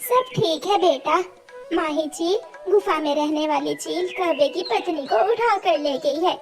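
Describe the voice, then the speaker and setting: A kind cartoon sparrow lady, played by a woman, high-voiced and earnest. She persuades gently and unhurriedly, with music behind. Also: unhurried. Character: kind, sensible female sparrow, helpful neighbour. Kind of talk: children's cartoon dialogue.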